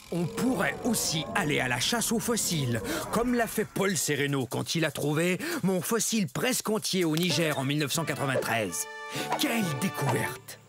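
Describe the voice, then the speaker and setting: A sly, teasing hunter dinosaur who leans in close to share his tricks. His voice is high yet lively, gliding up and down as he explains, with clear music under it. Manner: teasing, confiding, teacherly. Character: sly male meat-eating hunter dinosaur. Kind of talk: children's educational cartoon.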